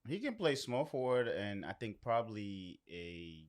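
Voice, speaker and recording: Lowish-voiced young man in casual chat. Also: sing-song, unhurried. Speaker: podcast participant.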